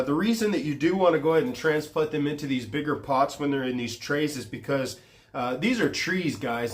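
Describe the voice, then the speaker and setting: A man hosting a gardening vlog, talking casually with many ums and uhs, a little stuffy from a cold, recorded on a phone on a tripod. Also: mid-range voice. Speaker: gardening channel host. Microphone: phone camera on a tripod.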